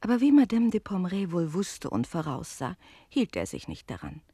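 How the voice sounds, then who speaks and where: Expressive innkeeper storyteller, close and dry. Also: female voice, lowish voice, normal speed, husky, no echo, exaggerated voice. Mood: knowing irony. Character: innkeeper woman telling a tale, lively, unafraid storyteller. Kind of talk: dramatised storytelling in German radio play.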